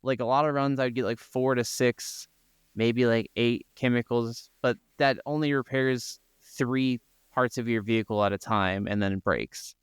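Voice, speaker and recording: A man's voice: medium pitch, fairly even intonation, moderate pace, smooth, no room sound. An American man co-hosting a podcast, chatting casually about games in an informal, rambling way. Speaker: podcast co-host. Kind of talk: casual gaming chat.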